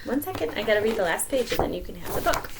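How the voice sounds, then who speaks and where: A woman reading a children's picture book aloud as a storyteller, expressive, with dramatic pauses and emphasis. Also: medium pitch, background noise.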